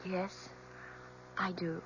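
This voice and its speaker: A distraught young woman burdened by guilt. She speaks haltingly and emotionally in old radio drama style. The recording is dull, with a faint mains hum. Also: on the low side, little pitch movement, a bit bright, exaggerated voice. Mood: subdued, hesitant. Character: distraught young woman, guilt-ridden witness and professor's daughter.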